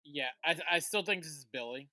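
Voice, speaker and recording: A podcast co-host leading a casual chat about TV episodes, relaxed and conversational, often trailing off quietly. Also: medium pitch, brisk, dry recording, studio quality.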